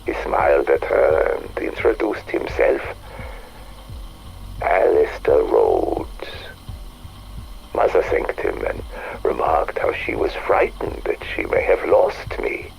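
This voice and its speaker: A haunted occult scholar with an extremely low, heavily creaking voice. He reminisces slowly in florid, halting phrases, as a video game monologue. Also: very animated, darkish tone, full character voice, rough recording. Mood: reflective. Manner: halting, breathy, florid storytelling. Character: haunted, obsessive male occult scholar reminiscing on his life. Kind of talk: dramatic monologue, game audio log.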